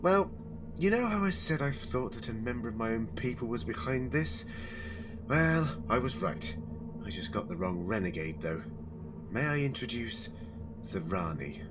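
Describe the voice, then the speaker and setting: Time-travelling alien hero, male actor: mid-pitched, lively, sardonic and challenging, made for sci-fi audio drama. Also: moderate pace, background noise, natural voice. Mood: wry.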